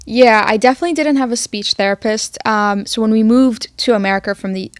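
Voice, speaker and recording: A young woman from Egypt with a fairly high voice, talking casually as a podcast co-host. She speaks in a relaxed, unhurried way, pausing often while she finds her words. Her voice comes through close and dry, with no room sound. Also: no room sound. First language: Arabic. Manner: relaxed, with long thinking pauses and fillers. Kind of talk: casual chat.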